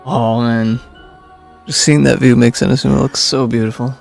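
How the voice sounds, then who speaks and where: A man's voice: mid-range voice, steady tone, moderate pace, gravelly. A man giving casual, informal reaction commentary as a game streamer, sounding sincere. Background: faint game music.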